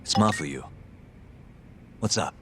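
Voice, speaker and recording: Deep-voiced, wry male detective. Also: lively intonation, slow pace, music in the background. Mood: casual, grateful. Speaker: voice actor in English game dub. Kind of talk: dubbed video game cutscene acting.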